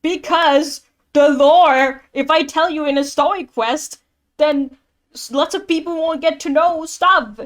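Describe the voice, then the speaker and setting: High-voiced woman streaming a game. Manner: exclamatory, halting, thinking aloud. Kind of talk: casual live playthrough commentary.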